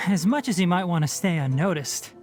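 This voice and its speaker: A man's voice: sits high, animated, a little fast, faint music behind. A man voicing a wry young forest ranger scholar with animal ears for a video game, articulate and dryly cautioning. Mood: wry, measured. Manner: articulate, dry, gently lecturing. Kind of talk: scripted game character voice lines.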